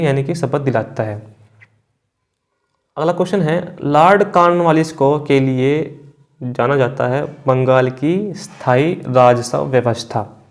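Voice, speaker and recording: A man's voice: medium pitch, darkish tone. A man teaching exam prep, reading out quiz questions and confirming answers in an instructional way with frequent check-in tags.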